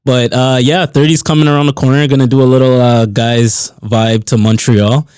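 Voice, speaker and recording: A man's voice: mid-range voice, level tone, normal speed, a little mellow, clean tone, no room sound, studio quality. A man in his late twenties hosting a podcast, bantering and teasing his co-host in casual chat. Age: 20s.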